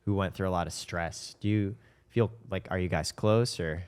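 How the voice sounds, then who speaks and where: A man's voice: low voice, moderate pace, darkish tone, smooth. A man in casual personal conversation, articulate and introspective as he reasons through his thoughts.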